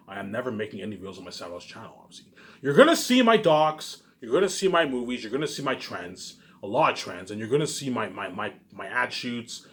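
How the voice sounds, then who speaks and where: A man's voice: fairly low pitch, a bit bright. A man in a casual, rambling monologue, boastful and repetitive, emphatic and swearing freely as he leans on the same catchphrases. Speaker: online video creator.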